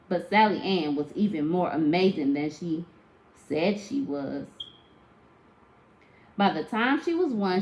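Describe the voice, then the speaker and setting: A woman narrating a children's tall tale aloud like a teacher, with expressive, emphatic storytelling. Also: fairly low pitch, rough recording.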